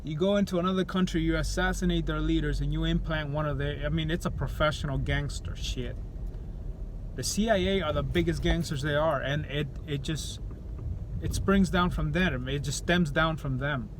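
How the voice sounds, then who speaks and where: A man's voice: on the high side, moderate pace, noisy background. A man from El Salvador talking straight to camera in an opinionated vlog, blunt and profane, often restarting his sentences. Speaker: YouTuber.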